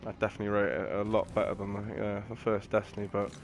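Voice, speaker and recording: A man's voice: lowish voice, completely flat delivery, fast, slight background noise. A British man giving casual, chatty, rambling commentary while streaming a video game. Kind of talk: casual gameplay commentary with banter. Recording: game music and sounds behind. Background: video game music.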